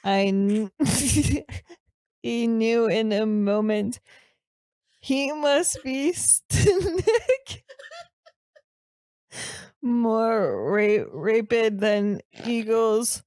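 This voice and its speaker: Podcast comedy role: flustered, dim young male student, played by a woman, painfully slow halting delivery, awkward strained voice, very dry sound. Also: fairly high pitch, lively intonation, darkish tone, no echo. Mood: halting, earnest. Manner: halting read-aloud, stumbling over and mangling words. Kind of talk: improvised comedy podcast character.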